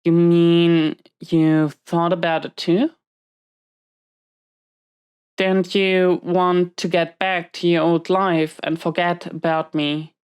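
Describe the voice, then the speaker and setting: Low-voiced, unhurried dominant female inmate, acted by a woman. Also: flat delivery, a little mellow, clean tone. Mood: guarded, hesitant. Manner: commanding, clipped orders with hesitant confessions. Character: dominant, guarded female prison inmate, stern but secretly tender. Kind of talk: intimate roleplay audio drama.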